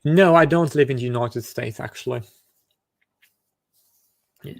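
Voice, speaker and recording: A man's voice: mid-range voice, measured pace, vocal fry. A man hosting casual video chats with strangers, asking curious questions and often stumbling and restarting his sentences. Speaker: online video chat host.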